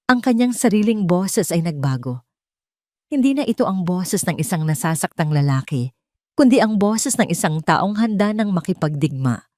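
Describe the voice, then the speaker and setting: A woman's voice: fairly low pitch, animated, normal speed, a little mellow, no echo, studio quality. A woman narrating a scripted dramatic love story as an audio drama.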